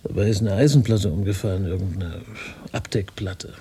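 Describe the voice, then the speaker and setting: A man acting a shaken radio journalist in a naturalistic radio play, speaking in a grave, deliberate, sardonic way. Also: low voice, dark tone, slightly rough. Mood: grim, agitated. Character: shaken male radio journalist, bitterly recounting grim findings. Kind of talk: naturalistic radio drama dialogue.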